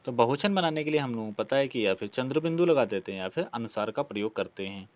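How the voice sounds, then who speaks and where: A male teacher with a medium-pitched voice leads an exam-prep lesson. He reads each question aloud, then explains the answer in an instructive way. He often repeats key words as he goes. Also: expressive, fast talker. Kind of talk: online lesson, working through quiz questions.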